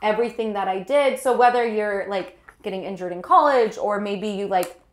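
A woman's voice: medium pitch, moderate pace, slight background noise. Young woman, stuffed up, joking around, echoey room. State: stuffy from allergies. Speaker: podcast co-host. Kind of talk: casual chat.

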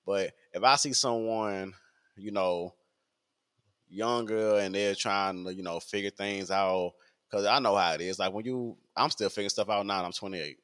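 A man's voice: on the low side, fairly even intonation, normal speed, a bit bright. A man, talking in a rambling casual podcast monologue full of fillers and repeats. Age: 20s.

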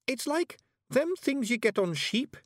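A man voicing a tiny, earnest young Scottish fairy bard, explaining haltingly as in a storybook. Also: extremely high pitch, sing-song, dry recording, clean studio sound, voice pushed up, full character voice. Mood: thoughtful, hesitant.

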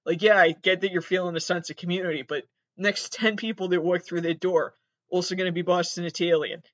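A man's voice: high-pitched, fairly even intonation, fairly quick, fairly bright tone. A man from Massachusetts with a North American accent hosts a casual comedic solo podcast, rambling in a self-deprecating, profane, digressive way. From Massachusetts, United States. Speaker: podcast host. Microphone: built-in laptop microphone. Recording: dull lo-fi laptop microphone.

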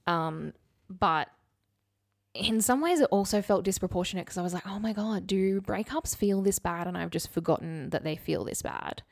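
Female podcast co-host, lowish voice with vocal fry, frank and conversational, very dry sound. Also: moderate pace, dry recording. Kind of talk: candid casual chat.

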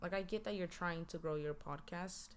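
A woman with a low voice chatting casually as a podcast guest, recounting an earlier conversation. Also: moderate pace.

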